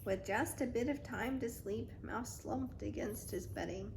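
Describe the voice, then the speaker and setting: A woman reads a children's picture book aloud in an expressive, child-directed storytime style. Her voice is on the high side and her pace is very slow and dramatic. Faint noise sits behind the reading. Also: gravelly.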